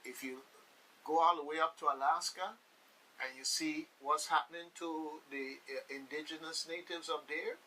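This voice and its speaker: A man's voice: a little high, pushed and bright. A man with a Virgin Islands accent hosting a radio talk show, speaking to listeners in a folksy, emphatic way and repeating phrases for weight. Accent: Virgin Islands Caribbean. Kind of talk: casual on-air discussion addressed to listeners. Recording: hissy, distant microphone.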